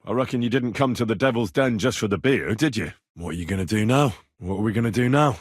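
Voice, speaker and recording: A young medieval adventurer from a video game, speaks in a husky, mid-range voice. His intonation swings widely while he stays blunt, dogged and probing. The recording is dry and close, with no room sound. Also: fairly low pitch, lively intonation, fast talker, no room sound. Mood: earnest, varied. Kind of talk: video game dialogue lines.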